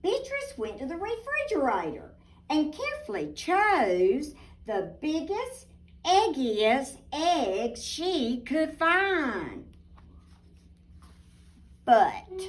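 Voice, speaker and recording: Lady reading a kids book aloud, really slow, super sing-song up and down, sounds a bit away from the mic. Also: high-pitched, a bit bright. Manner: deliberate, emphatic, with asides to young listeners. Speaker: children's storybook reader, teacher. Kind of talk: expressive read-aloud of a picture book. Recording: distant microphone.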